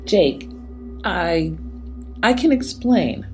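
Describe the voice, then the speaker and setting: An actress playing a guarded, determined woman in an audio drama, speaking in a serious, measured, hesitant way. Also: fairly low pitch, big pitch swings, darkish tone, exaggerated voice, poor audio. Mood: guarded, pleading. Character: guarded, determined woman companion hiding a secret. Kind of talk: scripted audio drama dialogue. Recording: music behind the voice.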